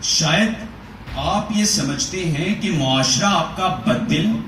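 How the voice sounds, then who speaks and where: A man reads a literary essay aloud with a slow, deliberate, rhetorical delivery. His voice sits fairly high. The recording is roomy and echoey, with the mic set back from him and a faint hiss underneath. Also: fairly bright tone. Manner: measured, rhetorical, questioning delivery. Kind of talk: dramatic reading of Urdu prose. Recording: echoey room, voice from a distance, faint noise.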